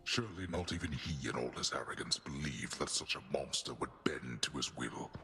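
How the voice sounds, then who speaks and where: An actor playing a regal elder god in a video game, speaking in a formal, solemn, measured way. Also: male voice, rumbling low, sharp tone, slight background noise. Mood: grave. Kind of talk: game character dialogue.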